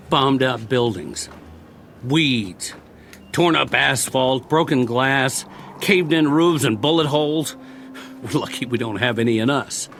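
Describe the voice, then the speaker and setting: An actor playing a war-weary, talkative soldier in a radio drama, rambling and wry, lonely and eager to please, stammering when eager. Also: male voice, mid-range voice, creaky, a bit bright, slight background noise. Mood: bitterly wry.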